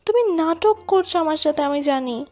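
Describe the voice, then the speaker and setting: A woman's voice: high voice, animated, moderate pace, dark tone. A woman playing a lovesick, stubbornly clinging young woman who pleads plaintively in a dramatised romantic audio story. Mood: pleading, lovesick. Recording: voice over a music bed.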